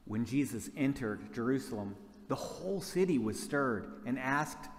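A man's voice: mid-range voice, expressive, a little slow. Reverberant male scripture narrator. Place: church hall stage before seated audience. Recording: reverberant hall, noisy room ambience.

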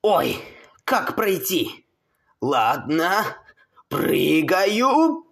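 A man voices an amateur cartoon in a high-pitched voice. His delivery is very slow and theatrical, with exclamations and big swings in pitch. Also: big pitch swings, vocal fry, a bit bright.

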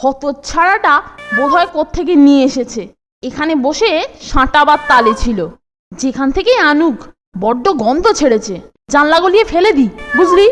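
A woman voicing an excitable, mischievous young boarding-school boy in a cartoon, with a boyish voice. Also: high-pitched, moderate pace. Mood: conspiratorial. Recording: music behind the voice.

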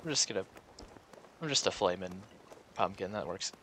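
A man's voice: low voice, animated, normal speed, a bit bright. A man in casual game chat with friends, guiding them along with a wry, sarcastic manner. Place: voice chat over a video game.

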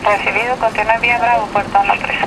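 A man's voice: high voice, little pitch movement, fast talker. A man working as a ground controller, giving clipped instructions over aviation radio. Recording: noisy aircraft radio in cockpit.

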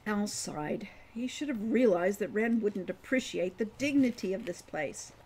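A woman in her sixties narrating a fantasy novel in a measured, careful reading style at home. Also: mid-range voice, darkish tone, breathy edge, rough recording. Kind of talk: audiobook-style story narration. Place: room at home.

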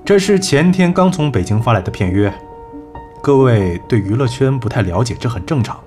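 A man playing a cool, assured agency executive in an audio drama, measured and persuasive. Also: mid-range voice, lively intonation, darkish tone, faint music behind, natural voice. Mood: confident, persuasive. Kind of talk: Chinese audio drama acting.

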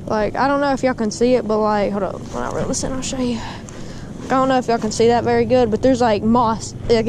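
A young man, chatting casually to viewers while fishing at a pond, calling them guys and dude. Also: extremely high pitch. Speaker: fishing vlogger. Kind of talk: casual vlog commentary. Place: outdoors by a windy pond. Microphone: body-mounted action camera. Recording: wind noise outdoors.

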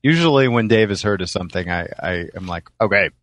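A man's voice: fairly low pitch, lively intonation, fast, fairly bright tone, no echo. A man chatting casually as the co-host of a podcast. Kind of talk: casual chat.

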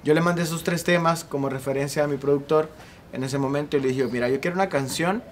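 A young man in his twenties with a Salvadoran accent, a singer telling his story at length in a relaxed interview on an outdoor patio. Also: on the high side, fast talker, a little hiss or hum. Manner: earnest, talks at length with ease. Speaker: singer and guest. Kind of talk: casual interview.

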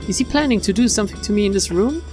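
A woman reads visual novel text aloud as a streamer. Her delivery is expressive narration, following the story's inner thoughts. Game music plays behind her voice. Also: medium pitch, rapid-fire.

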